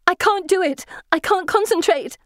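A woman voices a teenage heroine in a fantasy audiobook. Her delivery is fairly high, desperate and commanding, urgent with anxiety, in a dry close recording. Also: normal speed, a little mellow, no room sound, close to an everyday voice. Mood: urgent fear.

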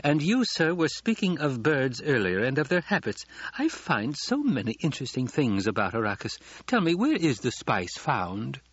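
A man voicing a shrewd, sweetly courteous noblewoman in an audiobook, with measured and formal speech. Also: mid-range voice, huge pitch swings, husky, dry recording, highs cut off, voice pushed up. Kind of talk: audiobook character acting.

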